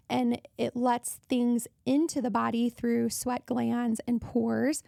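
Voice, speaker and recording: A woman hosting a wellness podcast, her voice hoarse and raspy from allergies, talking in a chatty way that wanders off on tangents. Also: on the high side, darkish tone, dry recording, clean studio sound. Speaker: podcast host.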